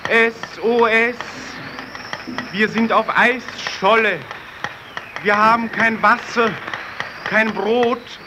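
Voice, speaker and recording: An actor playing a desperate radio operator stranded on Arctic ice in a radio play, calling out distress messages in an urgent, repetitive chant. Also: male voice, very high voice. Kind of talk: German radio play acting, slow distress-call declamation. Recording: noisy old radio drama recording.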